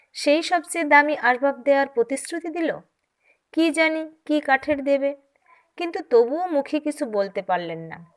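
A woman reads a story aloud. Her voice sits fairly high. She narrates with expressive, dramatic feeling, as a storyteller would in an audiobook. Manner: dramatic, acts out dialogue.

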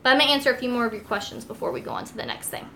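A young American woman with a fairly high voice talks in a chatty, giggly, informal way. She sounds like a live-stream host cooking at home and reading out viewer comments. There is faint noise around her voice in the kitchen. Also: big pitch swings, rapid-fire, creaky, a bit bright. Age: young adult. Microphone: phone or tablet, a short distance away.

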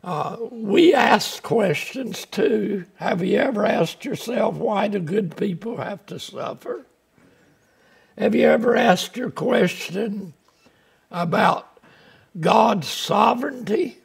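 A man's voice: high voice, animated, slow pace, vocal fry, breathy edge. An older man preaching a folksy, plainspoken sermon from the pulpit of a small church, speaking through a lapel mic. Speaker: pastor.

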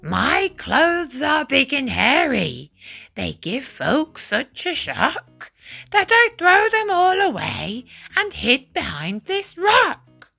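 Storybook yeti, shy, lonely and kind, with a lively, bouncing voice, read by a woman. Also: sits high, takes it slowly, creaky, faint music behind, full character voice. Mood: pleading.

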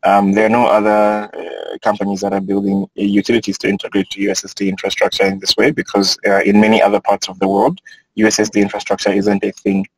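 A man in his thirties, a panelist on an online video call from inside a car, talking in a relaxed, thoughtful way with many fillers. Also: lowish voice, quick pace, husky, no room sound, poor audio. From Kenya.

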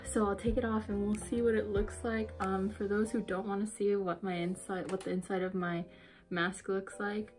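A woman's voice: mid-range voice, a little mellow. Candid young woman vlogging, swearing. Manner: candid, swears freely, drops to whispers. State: losing her voice. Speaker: beauty vlogger. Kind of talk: casual vlog chat.

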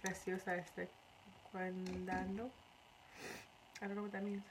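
A woman's voice: mid-range voice, monotone, a little slow, a little hiss or hum, poor audio. A woman in her thirties from Iran, chatting casually on a live makeup tutorial and reading out viewer comments while she works. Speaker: makeup artist. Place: small indoor room before a curtain.

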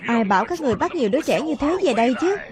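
A woman dubbing the characters of an animated film, with music behind her. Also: high voice, rapid-fire, noisy background. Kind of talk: dubbing all roles of an animated film in Vietnamese.

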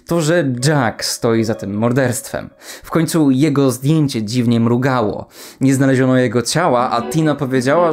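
A man's voice: mid-range voice, a little mellow. Man narrating commentary over music. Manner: sardonic, slangy. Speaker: video essay host. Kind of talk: casual scripted commentary on horror series. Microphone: close studio microphone. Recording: music behind the voice.

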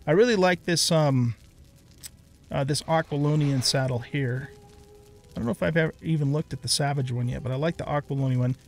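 A middle-aged man with a slightly high voice talks through a video game as a let's play streamer. His commentary is casual and conversational, as he reasons out each choice aloud. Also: a little fast, faint music behind.

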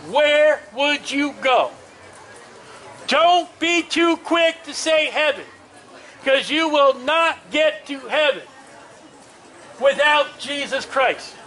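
A man preaching outdoors through a portable speaker, loud and emphatic, with drawn-out hammering phrases. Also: extremely high pitch. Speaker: street preacher. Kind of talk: amplified open-air preaching. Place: outdoors at a busy street festival. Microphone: amplified through a portable speaker. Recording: distant outdoor recording with ambient noise.

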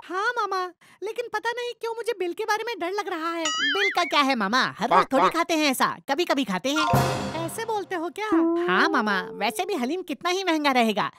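High-pitched, excitable cartoon youngster voiced by a woman. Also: brisk. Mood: cheerful, chatty. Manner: exaggerated, animated delivery. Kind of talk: comedy story dialogue. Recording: loud music behind the voice. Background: loud music.

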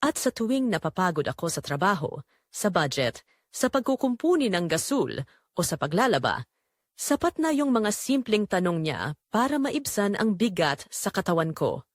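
A woman's voice: medium pitch, big pitch swings, moderate pace, no room sound, studio quality. A young woman with a Filipino accent narrating a scripted romantic drama in the first person, earnest and reflective.

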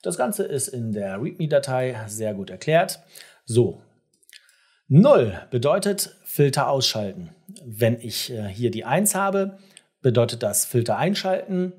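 A middle-aged man with a husky voice walks the viewer through software step by step. His pitch moves up and down in a lively way as he explains and addresses the listener directly. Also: measured pace. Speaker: tutorial presenter.

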